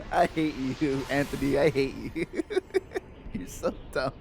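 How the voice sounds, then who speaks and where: A man's voice: fairly high pitch, lively intonation, slow pace, very noisy. A man doing casual, slangy let's play commentary with playful reactions.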